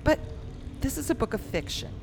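A woman's voice: high voice, sing-song, brisk, creaky, a little hiss or hum, exaggerated voice. A woman voicing a sassy, naive young heroine who wisecracks and is often bewildered.

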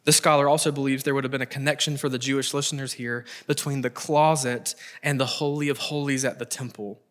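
A middle-aged man preaching a prepared sermon, earnest and emphatic, with deliberate pauses and repeated phrases for weight. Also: mid-range voice, flat delivery, a bit bright.

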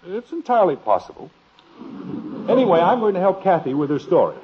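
Genial radio-sitcom father, husky, very lively pitch, old lo-fi broadcast. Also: brisk, slight background noise. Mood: playful. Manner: genial, warmly amused. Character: good-natured middle-aged father, curious and teasing. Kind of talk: 1950s radio sitcom acting.

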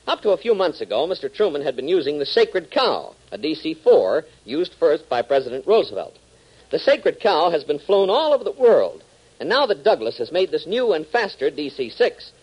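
A man's voice: on the high side, low-quality recording. A man announcing and narrating a 1940s radio adventure, emphatic and addressing young listeners directly.

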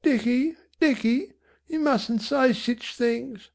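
A woman's voice: on the high side, fairly even intonation, measured pace, gravelly, a little mellow, voice pushed up. A woman voicing a doleful, listless slum mother with speech, faltering and feebly respectable, in an audiobook. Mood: faltering reproach. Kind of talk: audiobook character voice.

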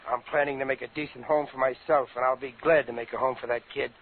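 A man's voice: medium pitch, fairly even intonation, fast, close to an everyday voice. An actor playing a cocky, slangy ex-convict crook in his fifties in a 1950s radio drama. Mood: insistent, earnest. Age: 50s. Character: tough, wisecracking 51-year-old ex-convict crook. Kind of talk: 1950s radio drama acting and first-person narration. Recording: tinny, noisy old radio broadcast.

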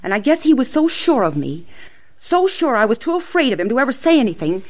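Anguished, high-voiced frontier wife. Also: female voice, sing-song. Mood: anguished, resolute. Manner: halting, emotional, earnest. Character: anguished frontier wife, frightened but newly resolute. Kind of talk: old-time radio western acting.

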